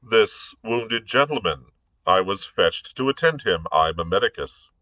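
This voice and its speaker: Man putting on a cool witty doctor, dry and composed, slow, bright tone. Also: fairly low pitch, lively intonation, no echo, rough recording. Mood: wry. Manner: wry, composed. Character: witty, cool Irish physician, middle-aged man.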